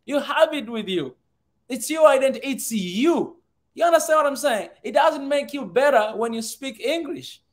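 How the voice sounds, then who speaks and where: Tanzanian guy hosting a video call chat, high voice, earnest, swahili first language, repeats himself while thinking. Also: animated, normal speed. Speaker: host. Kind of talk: casual conversation.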